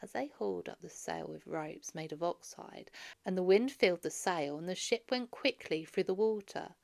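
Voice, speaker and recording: A woman's voice: fairly low pitch, moderate pace, creaky, darkish tone. A woman reading a story aloud for children as a narrator. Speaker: storybook reader.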